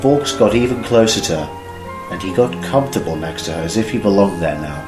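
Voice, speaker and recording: A low male voice narrates a scripted fan audio drama. The room is echoey, and soft music sits behind him. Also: brisk.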